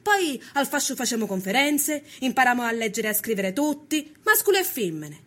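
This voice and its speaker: A woman's voice: fairly high pitch, very animated, normal speed. A woman playing a resolute Sicilian peasant activist of the 1890s in a documentary drama, earnest and declarative, with a Sicilian accent. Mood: earnest, hopeful.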